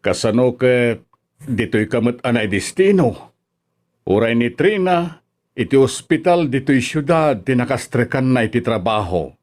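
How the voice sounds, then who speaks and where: A man's voice: mid-range voice, lively intonation, measured pace, a little mellow, lightly acted. A man acts a loving, proud father in an Ilocano drama. His mid-range voice swings widely as he talks to his grown child. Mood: warm, concerned. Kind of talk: Ilocano radio drama acting.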